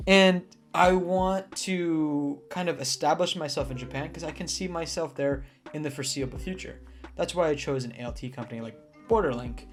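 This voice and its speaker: A young man in casual talk to camera, giving earnest advice about teaching jobs in Japan, with restarts and fillers. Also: fairly high pitch. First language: English. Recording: soft guitar music behind.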